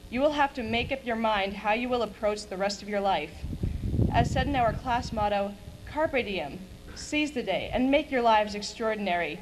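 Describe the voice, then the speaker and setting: A woman's voice: on the high side, moderate pace. Noisy recording of a young woman speechmaking. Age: young adult. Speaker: graduating student speaker. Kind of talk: prepared graduation speech. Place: high school graduation ceremony, at a podium. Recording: clear hum and noise of event video.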